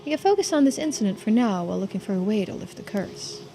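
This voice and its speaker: A woman voicing a shrewd female police investigator in a mystery game, measured, polite and in charge. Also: medium pitch, expressive, music in the background, lightly acted. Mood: pensive.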